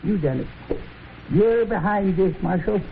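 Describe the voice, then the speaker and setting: An actor playing a proud, ornery old man in a radio western, with a creaky voice and halting, folksy speech. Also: high-pitched. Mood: defiant, agitated. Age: older. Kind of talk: 1950s radio western acting. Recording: tinny, noisy 1950s radio recording.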